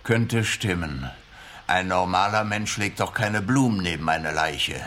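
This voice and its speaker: An actor playing a teasing, matter-of-fact police detective in a radio crime comedy, with a wry manner. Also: male voice, deep voice, a little slow, bright, forceful tone. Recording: noticeable background noise and ambience.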